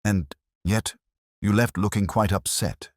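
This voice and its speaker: A man voicing a mild, courteous police inspector in a mystery story, calm, measured and politely formal. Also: on the low side, dry recording, clean studio sound, voice pushed up, close to an everyday voice. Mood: courteous, probing. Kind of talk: audio mystery story dialogue.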